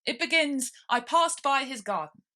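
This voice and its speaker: Woman doing a bossy, animated, impatient gryphon. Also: sits high, moderate pace, voice pushed up. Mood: hasty, impatient. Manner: bossy, abrupt. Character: brusque, impatient Gryphon creature.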